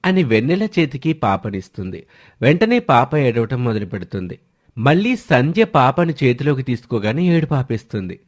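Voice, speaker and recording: Animated male story narrator. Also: mid-range voice, moderate pace.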